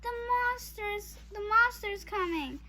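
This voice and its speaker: A child voicing an excitable, adventurous seven-year-old girl who calls out urgently in a storybook reading. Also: very high-pitched, slow, slight background noise, pitched above the performer's own voice, exaggerated voice. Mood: playful alarm. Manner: urgent, exclaiming, calling out.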